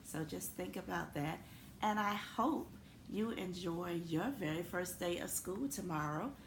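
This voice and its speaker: A woman's voice: lowish voice, vocal fry. A woman reading a children's story aloud expressively, in the manner of a school principal addressing young students. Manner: animated, unhurried storytelling. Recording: echoey room with noticeable background noise.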